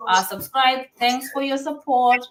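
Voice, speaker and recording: A woman's voice: sits high, measured pace, fairly bright tone, reverberant, low-quality recording. A woman hosting a live stream, chatting casually and giving advice, with a laugh and many fillers.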